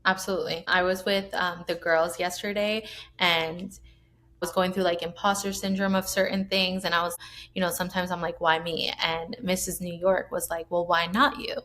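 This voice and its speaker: A woman hosting a podcast interview in a warm, affirming, reflective way. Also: on the low side, flat delivery, moderate pace. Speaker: podcast host. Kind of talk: conversational interview.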